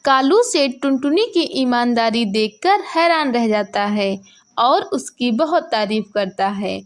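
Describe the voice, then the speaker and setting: Lady reading a kids story, high voice, takes it real slow. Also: lively intonation. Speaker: narrator. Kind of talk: scripted children's story narration.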